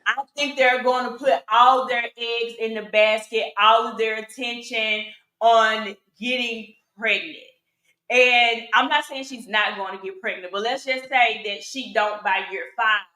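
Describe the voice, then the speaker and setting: An animated woman with a rather high, bright, sharp voice, giving her opinions on reality TV. She exclaims a lot as she talks, and her voice rings in an echoey room. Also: a little slow. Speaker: co-host of a reality TV opinion panel. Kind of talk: casual chat.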